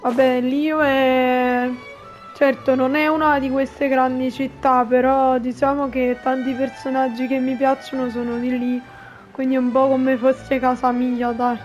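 Female Italian gaming YouTuber: high voice, little melodic movement, informal chatty commentary, faint game music behind. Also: flat delivery, normal speed. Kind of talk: casual gameplay commentary. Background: video game music and dialogue.

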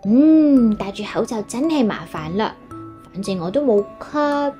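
Woman as an innocent high-voiced little schoolgirl. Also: measured pace, faint music behind. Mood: carefree, annoyed. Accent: Hong Kong Cantonese. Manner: childlike, expressive. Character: innocent, carefree little schoolgirl in children's story.

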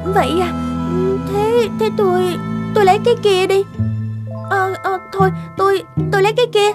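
A woman's voice: very high voice, steady tone, moderate pace. A woman voicing a spoiled, carefree young party girl in a cartoon, whiny, dramatic and easily flustered. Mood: hesitant. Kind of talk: dubbed cartoon acting. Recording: music behind the voice.

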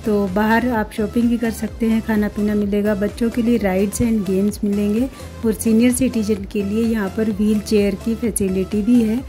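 A middle-aged woman with an Indian accent narrates a vlog in a soft, mellow tone. She explains things chattily, as if to friends, at a medium pitch. Music plays behind her voice. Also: level tone, normal speed. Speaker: vlogger and channel host. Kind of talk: scripted-sounding travel vlog narration addressed to viewers.

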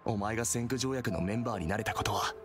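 A man voicing an excitable, easygoing young big brother in an anime game, animated and affable. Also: fairly low pitch, quick pace, noisy background, close to an everyday voice. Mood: placating.

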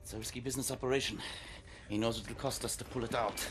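A man's voice: mid-range voice, fairly even intonation, fast talker, background noise, natural voice. A man playing a repentant, fatherly former Nazi in a game drama, with a German accent and an earnest, emphatic delivery. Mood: protective, explaining.

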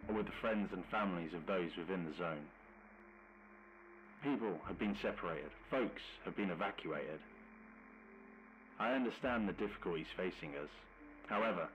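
An actor playing a solemn American president giving a formal, reassuring address on a faintly noisy radio broadcast. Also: male voice, low-pitched, a bit bright.

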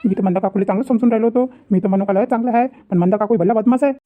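Fast-talking cheeky Vidarbha village lad , acted out. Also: medium pitch, close to an everyday voice. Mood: grumbling. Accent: Vidarbha Marathi. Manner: muttering complaints, repeating himself. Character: cheeky girl-chasing young village lad, grumbling, easily cowed. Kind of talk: comedy cartoon dialogue. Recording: muffled, phone-like lo-fi sound.

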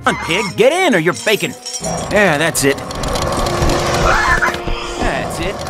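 Nervous hapless young handler, slow, wildly swooping pitch, game cutscene, very loud music. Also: male voice, big pitch swings. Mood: coaxing. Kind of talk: video game cutscene dialogue.

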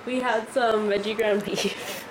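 A woman's voice: mid-range voice, steady tone, measured pace, background noise. A woman in her twenties with a congested voice from a cold, chatting casually through a food diary vlog, rambling and laughing often, filmed on a handheld camera in her home kitchen. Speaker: vlogger. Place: home kitchen and living room. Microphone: handheld camera at arm's length.

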